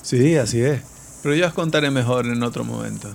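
A man's voice: mid-range voice, a little fast, gravelly, natural voice. A man playing a mysterious, welcoming city local and would-be outlaw hero in an audio drama. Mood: welcoming, evasive. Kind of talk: amateur audio drama acting.

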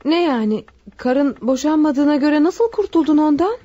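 A woman's voice: high-pitched, expressive, normal speed, warm tone, slight background noise. An actor playing a young woman who is shocked by her lover's crime, alarmed and reproachful. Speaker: radio drama actor. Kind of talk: vintage radio drama acting. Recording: dull lo-fi sound.

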